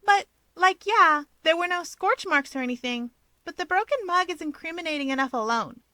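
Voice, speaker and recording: A woman's voice: very high-pitched, animated, moderate pace, sharp tone, dry recording, pitched above the performer's own voice, exaggerated voice. A woman voicing a bold young female hero with a blunt, probing manner in a story reading. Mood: skeptical.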